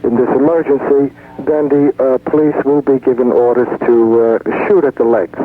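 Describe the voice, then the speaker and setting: A man giving a halting, measured official statement as a police chief in a radio news clip. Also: medium pitch, fairly even intonation. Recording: dull, slightly noisy radio news clip.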